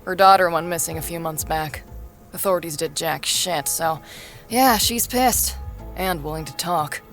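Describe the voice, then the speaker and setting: A woman's voice: fairly low pitch, moderate pace, soft background music, lightly acted. An actress playing a brusque, no-nonsense, sweary woman investigator in an audio drama, blunt and commanding. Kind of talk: dramatic audio fiction acting.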